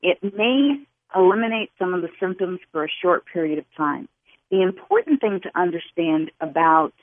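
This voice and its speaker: A woman guest expert giving health advice to callers on a call-in radio show, explaining in a steady, instructive way. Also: sits low, no room sound. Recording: phone-line sound.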